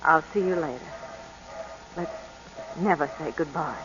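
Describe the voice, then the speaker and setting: A woman's voice: low-pitched, a little slow. An actress playing an affectionate, spirited young American woman in love, in the style of 1940s radio drama. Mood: tender. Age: young adult. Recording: dull old radio recording with music behind.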